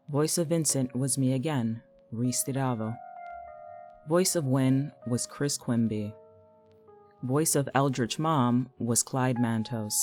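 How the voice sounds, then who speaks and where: A woman's voice: very deep voice, darkish tone. A woman who writes and acts in the show, reading scripted credits.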